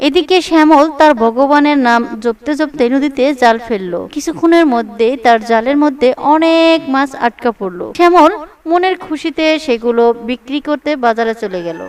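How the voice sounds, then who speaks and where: A woman's voice: high voice, recorded from a distance. A woman narrator reading a scripted children's story for a cartoon.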